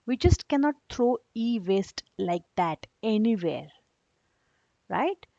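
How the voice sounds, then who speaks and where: Slow-paced woman teaching children. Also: medium pitch, animated, vocal fry, dark tone, dry recording, muffled recording. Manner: didactic, repeating and simplifying terms, frequent checking questions. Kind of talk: read-aloud children's lesson with explanations.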